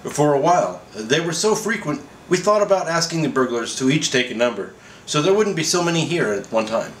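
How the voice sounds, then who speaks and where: A man's voice: a little high, expressive, a little fast, voice pushed up, natural voice. A man voicing a dry, stubborn husband in a comic story, wry and matter-of-fact. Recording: roomy echo, faint background noise.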